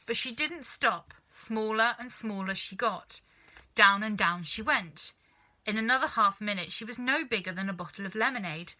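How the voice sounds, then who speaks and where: A woman reading a children's story aloud in an expressive, dramatic narrating style. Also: medium pitch, moderate pace, poor audio. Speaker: storybook reader.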